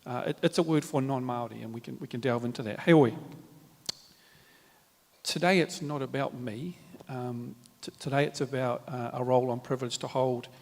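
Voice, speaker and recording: A man with a New Zealand accent, speaking at a live panel in a measured, reflective way with many fillers and restarts. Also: medium pitch, steady tone, vocal fry. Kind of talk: formal panel talk with Māori greetings. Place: large hall at a live event. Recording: reverberant hall.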